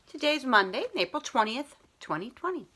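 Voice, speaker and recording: A woman's voice: on the high side, big pitch swings, moderate pace, pushed and bright, breathy edge. A woman reading a picture book aloud as a school librarian, talking to young children in simple, explanatory phrasing.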